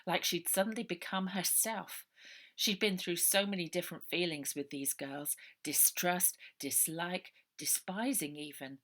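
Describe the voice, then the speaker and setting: Bright-voiced woman reading a children's story. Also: on the low side. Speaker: author reading own novel. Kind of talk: expressive children's audiobook narration.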